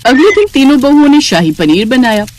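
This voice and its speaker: A woman's voice: high voice, sing-song, a little fast, darkish tone. A woman narrating a scripted family moral story.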